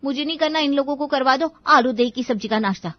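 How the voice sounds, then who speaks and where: A woman's voice: high voice, fast talker, dry recording, muffled recording, voice pushed up, lightly acted. A woman voicing a spoiled, sulky teenage daughter in a cartoon moral story, whining and snapping petulantly. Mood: defiant.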